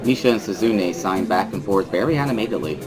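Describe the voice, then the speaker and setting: A man's voice: lowish voice, lively intonation, brisk. A man giving an understated, introspective first-person dramatic reading of a visual novel as its narrator. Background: guitar music.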